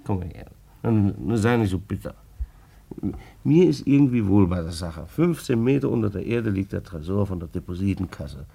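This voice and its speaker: An actor playing an earnest, stubbornly upright married clerk in a German radio play, hesitant and repeating himself. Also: male voice, low-pitched, animated, normal speed, creaky, warm tone, husky, exaggerated voice. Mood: quietly resolute.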